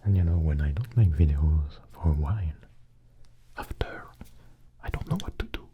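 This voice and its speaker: A man hosting an ASMR channel, mostly in a soft unvoiced whisper that drifts near and far from the microphone, chatting slowly and haltingly to his viewers. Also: rumbling low, slightly rough, highs cut off. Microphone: close microphone.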